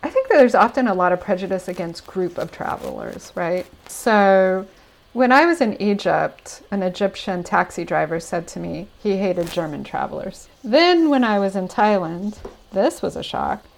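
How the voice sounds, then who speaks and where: A woman's voice: mid-range voice, animated, moderate pace, gravelly, rough recording. An American woman answering interview questions about travel in an earnest, reflective way. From United States. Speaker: podcast guest.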